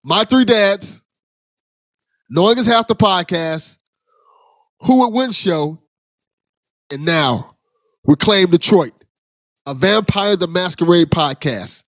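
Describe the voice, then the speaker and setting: A male podcast host with a fairly high voice and very animated intonation. He chats casually and teases with affection, in a close, dry recording. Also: takes it slowly, pauses often, no echo. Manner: bantering, affectionate with guest. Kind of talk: casual interview chat.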